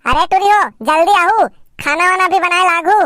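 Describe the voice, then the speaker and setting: A woman voicing an excitable, boisterous young village man with a Chhattisgarhi accent in a cartoon comedy. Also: very high-pitched, moderate pace, dry recording, studio quality. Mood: bossy, excited. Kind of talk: amateur cartoon comedy dialogue.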